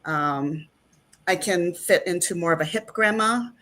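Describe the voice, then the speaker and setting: A woman's voice: lowish voice, unhurried, fairly bright tone. A middle-aged woman chatting as an interview guest, rambling with plenty of fillers. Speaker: actress and guest. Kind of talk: casual interview chat.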